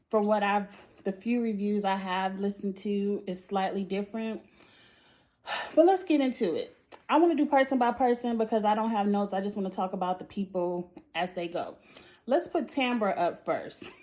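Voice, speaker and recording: High-voiced, outspoken woman recapping reality TV. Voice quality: high-pitched voice. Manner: animated, outspoken, frequent laughs and sighs. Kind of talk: casual opinionated chat.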